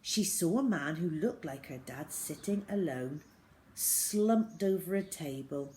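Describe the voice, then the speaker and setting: A woman reading a children's story aloud as narrator, in an expressive storytelling style. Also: sits low, unhurried, slight background noise.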